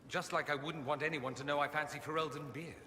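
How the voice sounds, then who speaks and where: A man's voice: on the high side, steady tone, fast talker, pushed and bright. A man playing a witty, proud aristocratic mage in a video game, arch and droll. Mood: wry, sheepish. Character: witty, proud male aristocratic mage, dryly self-mocking. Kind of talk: video game character acting. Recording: roomy long reverb, noisy ambience.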